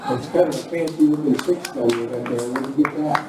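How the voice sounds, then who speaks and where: A man's voice: mid-range voice, a little fast, over music, crowd noise. A man hosting and narrating a live Western radio play in front of an audience. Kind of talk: stage hosting and dramatic scripted narration. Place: live performance in a village venue. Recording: very echoey room, distant mic, noisy.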